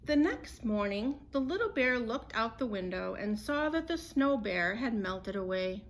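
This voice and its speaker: A woman's voice: fairly high pitch, very animated, unhurried. Woman reading a storybook, noisy recording. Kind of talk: children's picture book read-aloud.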